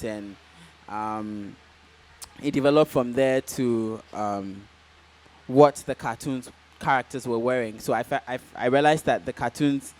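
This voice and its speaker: A Ghanaian man answering interview questions in a relaxed, casual way, with many "um"s and "like"s. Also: medium pitch. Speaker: guest fashion designer.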